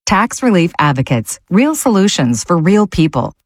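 A woman's voice: fairly low pitch, big pitch swings, moderate pace, noisy background. A woman narrating a scripted radio ad with an earnest, reassuring sales delivery.

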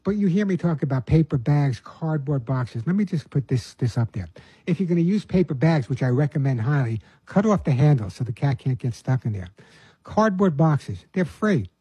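A man's voice: on the high side, expressive, normal speed, mellow, husky, no room sound, dull audio. An older man from New York, a pet behaviorist hosting a casual advice show, talking in a chatty way and often repeating words. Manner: chatty, repeats words, answers listener emails.

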